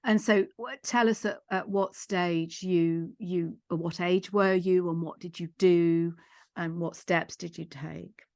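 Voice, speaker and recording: A middle-aged woman hosting a podcast interview in a measured, reflective way, feeling out her phrasing as she goes. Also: lowish voice, fairly even intonation, darkish tone, no echo, studio quality. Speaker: podcast host and psychotherapist. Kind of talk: gentle interview.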